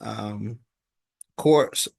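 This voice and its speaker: A man's voice: lowish voice, gravelly, a little mellow, husky, no room sound. Man giving a dry-sounding informal market recap. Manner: halting and repetitive, punctuating points with "okay?". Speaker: host of a stock market recap. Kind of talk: informal stock market commentary.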